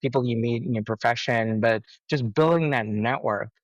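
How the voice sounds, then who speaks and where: A middle-aged man from India answering interview questions conversationally, haltingly, with many repeated words and filler phrases. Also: mid-range voice, no room sound, lo-fi sound. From India, raised in Mumbai. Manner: halting, frequent "you know" and repeated words. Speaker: interview guest, cybersecurity professional.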